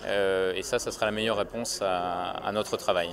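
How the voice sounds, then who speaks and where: A man's voice: low voice, fairly even intonation, moderate pace, a crowd in the background. A man giving an earnest, unscripted answer in an interview.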